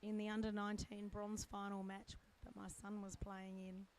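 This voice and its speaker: A middle-aged woman with a croaky, worn voice commentates from poolside. She speaks at an ordinary pitch and keeps a chatty, unhurried flow, thinking aloud. A faint hum sits under the recording. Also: monotone. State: croaky, strained voice. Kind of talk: casual live sports commentary. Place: poolside at an indoor or covered swimming pool.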